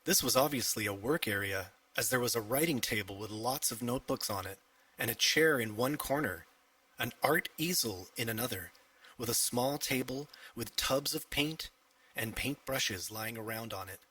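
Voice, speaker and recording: A man's voice: medium pitch, moderate pace, pushed and bright, breathy edge, dry recording, distant mic, poor audio. A man narrating a scripted children's story as an audiobook reader.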